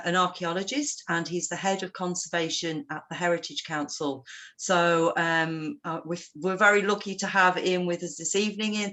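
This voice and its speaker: Woman host, bright, slightly low, brisk welcome over a video call. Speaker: event host. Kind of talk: introducing a speaker.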